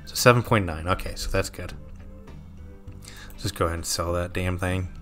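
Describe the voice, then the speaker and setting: Low-voiced man narrating a game, slightly sore throat. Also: normal speed, soft background music. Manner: relaxed, thinking aloud with frequent ums and self-corrections. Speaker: gameplay commentator. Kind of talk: casual let's-play commentary.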